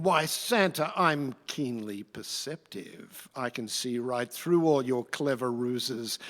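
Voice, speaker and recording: Picture a foppish, scheming Roman emperor from a radio play , acted by a man, pompous and mannered. His pitch swings freely and he lingers over his words. The voice rings with the long reverb of a hall. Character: vain, foppish Roman emperor, scheming but easily shamed. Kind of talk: theatrical rhymed radio play acting.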